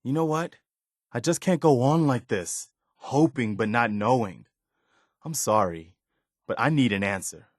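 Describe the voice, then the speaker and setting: An actor playing an earnest, loyal, plain-spoken militia man in a video game, sincere and measured. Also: medium pitch, lively intonation, no echo, clean studio sound. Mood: hesitant, vulnerable. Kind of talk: naturalistic video game dialogue.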